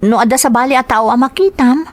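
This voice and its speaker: Secretive, evasive wife played by a woman, insistent, slightly high voice, family audio drama. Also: fast, natural voice. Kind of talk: Ilocano audio drama acting.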